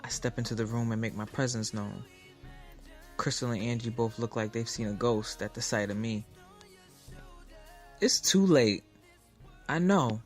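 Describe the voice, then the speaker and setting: A man's voice: medium pitch, a little slow, long pauses, a little mellow, soft background music, close to an everyday voice. An actor playing a hot-tempered young man in an audio drama, with casual, slangy American speech, in dialogue and first-person narration. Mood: furious.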